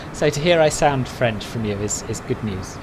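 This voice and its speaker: A man's voice: mid-range voice, noisy background. Chatty man streaming a flight sim. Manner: answers viewers' chat messages conversationally. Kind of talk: casual live-stream chat.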